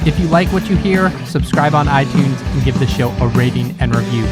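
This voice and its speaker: A man's voice: medium pitch, a little fast. A man as podcast host, reading a scripted outro announcement. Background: loud rock music with guitar and drums.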